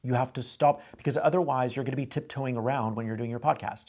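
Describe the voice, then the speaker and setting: A man's voice: mid-range voice, steady tone, fast, darkish tone. A man hosting a call-in advice show, coaching a caller in a direct way and often repeating himself. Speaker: host giving advice.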